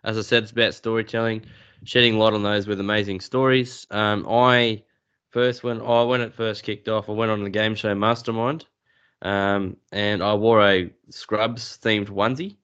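A man's voice: lowish voice, fairly even intonation, normal speed, fairly bright tone, clear, resonant tone, muffled recording. An Australian man being interviewed on a podcast, talking casually with dry, self-deprecating humour. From New South Wales, Australia. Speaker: podcast guest.

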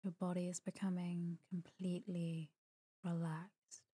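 A woman guides a sleep meditation in a low-pitched, very mellow voice. She is soft and breathy, at times close to a whisper. Her delivery is calm and soothing, with gentle pauses and an even, unhurried melody. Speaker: meditation guide, podcast host. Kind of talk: guided sleep meditation, slow scripted narration.

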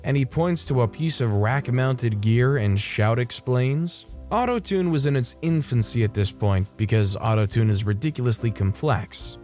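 A male narrator reads online stories in a scripted storytime style. His voice sits at a middle pitch and he leans on key phrases with emphasis, while music plays underneath. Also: a little mellow.

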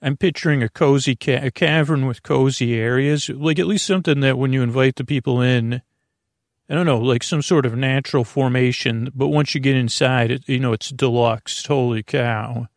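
A man hosting a bedtime podcast, talking in a lulling, creaky way and wandering off on meandering tangents. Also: mid-range voice, steady tone, normal speed, no room sound, clean studio sound. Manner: meandering, tangent-prone, self-interrupting. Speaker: podcast host. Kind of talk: rambling bedtime podcast talk.

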